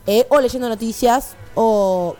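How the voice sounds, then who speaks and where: A woman in her twenties with an Argentine accent, telling colloquial, animated stories as co-host in a casual radio chat. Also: on the high side, slow pace. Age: 20s. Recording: music playing behind. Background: background music.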